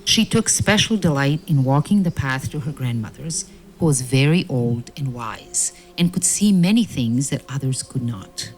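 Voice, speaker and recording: A woman narrating a fairy-tale audio play in a measured, solemn storytelling manner. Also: a bass voice, animated, darkish tone, slight background noise, low-quality recording. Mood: gentle storytelling. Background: music.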